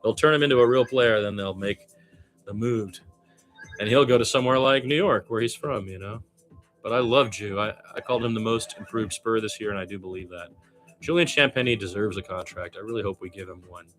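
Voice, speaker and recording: A man giving live basketball commentary as an amateur radio announcer, animated on the plays and wry and musing in his casual, rambling asides. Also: lowish voice, fairly quick, soft background music.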